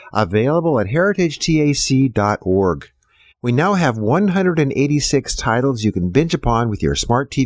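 Male news host, expressive swings in pitch, scripted delivery, dry studio-clean sound. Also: no echo.

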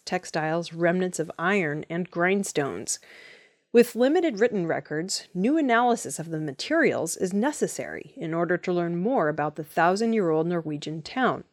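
A woman reads prepared news items in a fairly low voice. Her delivery is formal and measured, and the sound is dry, with no room echo. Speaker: news reader. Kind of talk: scripted news narration.

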